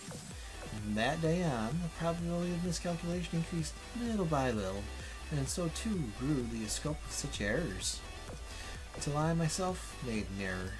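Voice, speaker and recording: Clinical machine mind read by a man, measured and formal, brooding on its existence; game visual novel, music underneath. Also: on the high side, poor audio. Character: female machine intelligence, clinical, doubting its own existence.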